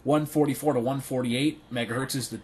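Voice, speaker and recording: A man giving a casual hands-on tutorial as a video creator, thinking aloud haltingly in a small bedroom. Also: medium pitch, fairly even intonation, very noisy. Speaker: video creator demonstrating radio gear.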